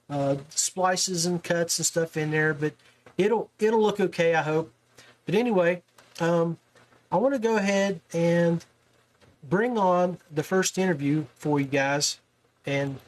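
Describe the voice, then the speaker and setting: High-pitched American man hosting casually. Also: poor audio. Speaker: podcast host.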